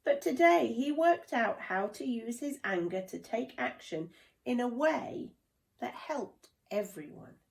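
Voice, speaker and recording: Woman as a wise lion peacemaker, storybook, gentle, earnest, animated pitch, slight creak. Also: unhurried. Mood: kindly, encouraging.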